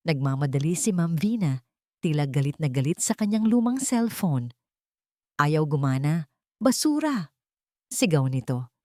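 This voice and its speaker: A woman's voice: deep voice, dark tone, no room sound, studio quality. A woman giving scripted, dramatic narration of audio fiction.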